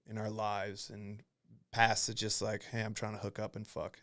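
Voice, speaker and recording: A man's voice: fairly low pitch, completely flat delivery, normal speed, a bit bright, no room sound, clean studio sound. A young man hosting a podcast, telling rambling, profane stories in casual chat and acting out what others said. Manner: profane, rambling storyteller who acts out quotes. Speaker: podcast host.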